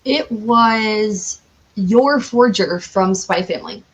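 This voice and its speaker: A woman with a medium-pitched voice talks as a guest in a casual interview. She is enthusiastic and informal, with a giggly streak. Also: slow pace, fairly bright tone, a little hiss or hum, poor audio. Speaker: podcast guest, cosplayer.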